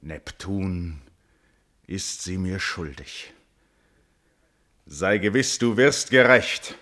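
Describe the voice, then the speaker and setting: Wrathful royal father, deep voice, hard-edged and tense, solemn declamation, classical radio drama. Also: lively intonation, very slow, fairly bright tone, full character voice. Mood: grim, vengeful. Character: wrathful, wounded royal father cursing his son. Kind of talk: classical verse tragedy radio play.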